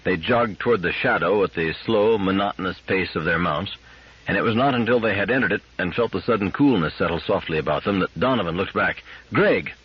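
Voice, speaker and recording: A man with a husky voice giving a scripted narration of a science fiction story as an audiobook reader. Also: sits low, brisk, muffled recording.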